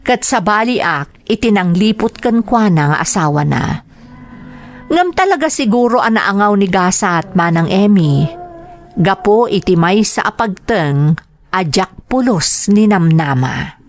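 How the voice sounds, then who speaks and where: An actress with an Ilocano accent playing a troubled, submissive young wife in a radio drama. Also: female voice, mid-range voice, expressive, measured pace, faint music behind. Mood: sorrowful, resigned. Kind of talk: Ilocano radio drama acting and first-person narration.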